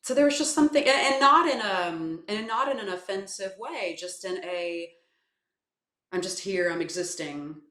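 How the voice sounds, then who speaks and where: A woman from South Carolina with a naturally low voice, giving thoughtful, hesitant interview answers full of fillers and restarts. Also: moderate pace, a bit bright. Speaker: guest, voice coach and actor. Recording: echoey room.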